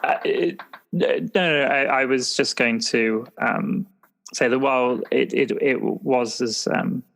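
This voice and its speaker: A man's voice: medium pitch, unhurried, creaky, a bit bright. A man playing a dutiful field agent, giving a hesitant, formal report in an improvised audio roleplay. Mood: hesitant, diplomatic.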